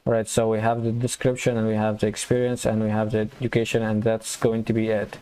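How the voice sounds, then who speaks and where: Low-pitched, monotone man teaching coding. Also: smooth, rough recording. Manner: informal, halting, step-by-step explaining. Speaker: programming tutorial instructor. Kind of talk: casual screen-recorded coding walkthrough, thinking aloud.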